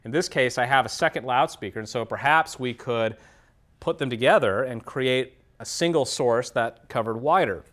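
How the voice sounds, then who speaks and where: A man's voice: medium pitch, normal speed, fairly bright tone. A man giving a hands-on demonstration lecture as an instructor, explaining things methodically, step by step. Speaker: audio engineering instructor.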